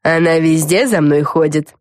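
A woman voicing a proud, earnest young witch girl in a children's storybook, emphatic and slightly halting. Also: low voice, no room sound, clean studio sound, lightly acted. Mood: proud, searching.

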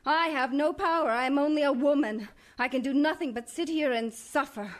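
A devoted young wife at her wits' end, played by a woman in a stage play. Her high voice pleads and trembles with dread, sometimes rushing, sometimes sinking low. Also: natural voice. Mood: pleading. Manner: emotional, pleading, breathless with dread. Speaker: pastor's wife.

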